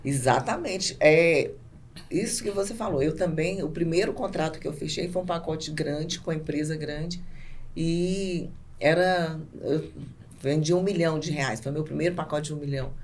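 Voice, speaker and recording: Lower-voiced Brazilian woman as podcast guest. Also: moderate pace, darkish tone, a little hiss or hum. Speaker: podcast guest, business owner. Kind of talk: casual conversational interview.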